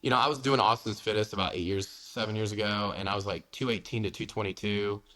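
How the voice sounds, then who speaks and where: A man in his forties from the United States speaks in a deep, low register and at a quick clip. He is frank and casual as he talks through a long-form interview, leaning on "you know" and "man". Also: steady tone, pushed and bright. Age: 40s. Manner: blunt, candid, peppered with "man" and "you know". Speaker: guest, fitness coach.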